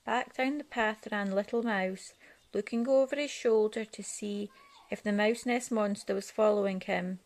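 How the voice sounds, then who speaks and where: A woman's voice: mid-range voice, normal speed, darkish tone. A woman narrating a children's picture book aloud as a storyteller.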